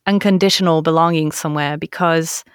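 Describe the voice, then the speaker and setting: A woman from France who hosts an interview and speaks in a measured, reflective way, relating the topic to her own life. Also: sits low, steady tone, smooth, no echo, studio quality. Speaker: podcast host. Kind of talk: conversational interview.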